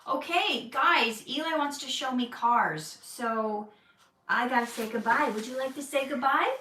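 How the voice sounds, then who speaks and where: A woman's voice: high-pitched, unhurried, sharp tone, far from the mic, slight background noise. An actress chatting candidly in a casual Q&A, laughing easily as she answers questions. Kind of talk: casual livestream Q&A chat. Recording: roomy echo, voice from off-mic.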